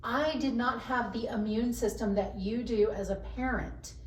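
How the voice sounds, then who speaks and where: A woman's voice: fairly high pitch, normal speed. Woman with a carrying voice. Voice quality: voice that carries. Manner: animated storytelling with laughs, self-mocking. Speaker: podcast guest, HR professional. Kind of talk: casual interview chat. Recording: roomy sound, mic at a distance, faint background hiss.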